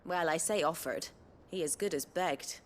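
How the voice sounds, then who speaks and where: A woman's voice: fairly low pitch, moderate pace, lightly acted. A woman playing a down-to-earth, teasing cook in a fantasy video game. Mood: brisk, teasing. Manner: wry, plain-spoken.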